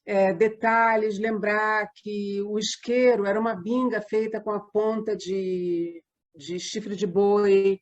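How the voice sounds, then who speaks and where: A woman's voice: mid-range voice, flat delivery, slow, dull audio. A Brazilian woman answering interview questions in a conversational way, telling things reflectively and in detail. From Minas Gerais, Brazil. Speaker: interview guest, radio drama writer and set designer.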